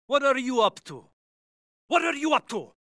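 Suspicious enemy guard, forceful and animated game barks, challenging, very dry sound. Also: male voice, very high voice, moderate pace, no echo, exaggerated voice. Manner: challenging, questioning. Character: suspicious, alert enemy soldier guard on patrol. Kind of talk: video game soldier barks.

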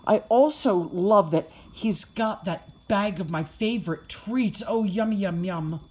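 A woman voicing an excitable, food-crazed young puppy who gushes childlike exclamations as he tells his own storybook tale. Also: mid-range voice, slow, breathy edge. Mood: happy, earnest. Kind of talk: first-person children's storybook narration.

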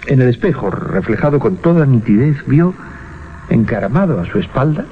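Un hombre narra un relato de terror radiofónico con suspense y titubeos deliberados. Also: male voice, mid-range voice, very animated. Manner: suspenseful, with deliberate hesitations and repetitions. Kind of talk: dramatic horror storytelling for radio. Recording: dull 1980s radio recording. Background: music.

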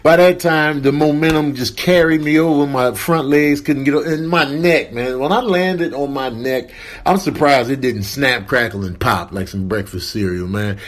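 Man voicing a husky, rueful horse storyteller. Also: mid-range voice, normal speed, lightly acted. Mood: rueful, mock-serious. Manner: mock-serious, wordy storytelling. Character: rueful male horse recounting his clumsy mishap. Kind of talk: comic animal voiceover.

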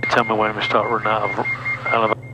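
A man's voice: on the low side, monotone, quick pace. A British man working as a flight instructor, chatting and explaining casually over an aviation headset. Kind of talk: casual cockpit instruction and chat. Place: small aircraft cockpit in flight. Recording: loud aircraft engine noise, headset audio.

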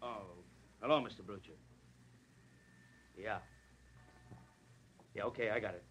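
An actor playing a gruff, menacing American warehouse tough in a noir radio drama. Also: male voice, lowish voice, unhurried, lightly acted. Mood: curt. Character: surly, threatening warehouse tough guy. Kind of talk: 1950s radio noir acting. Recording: vintage radio recording with faint noise.

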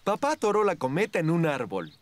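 A woman's voice: fairly low pitch, big pitch swings, brisk, no echo. A woman playing a friendly narrator, reading scripted lines for a children's cartoon.

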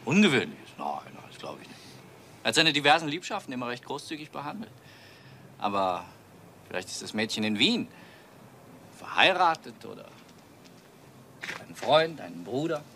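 A man's voice: medium pitch, big pitch swings, normal speed, long pauses, pushed and bright. An actor playing a rich businessman's talkative, eager nephew in a German crime radio play. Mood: insistent. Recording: old radio play with faint noise.

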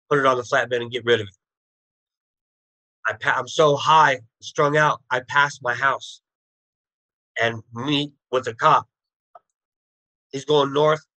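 A man's voice: medium pitch, pushed and bright, no room sound, low-quality recording. A man in his thirties, a guest on a video call, bluntly recounting his past in plain street slang. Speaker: interview guest. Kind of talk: telling his life story.

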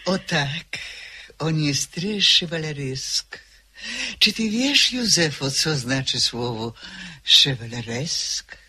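A husky, haughty manor lady. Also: low voice, expressive, slow, bright, forceful tone, exaggerated voice. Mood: arch. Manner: affected, mannered speech with French phrases. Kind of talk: vintage radio drama acting.

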